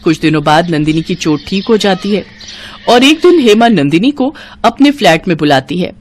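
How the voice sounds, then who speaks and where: A woman's voice: fairly low pitch, lively intonation, normal speed. A woman reading a scripted moral story for children as narrator.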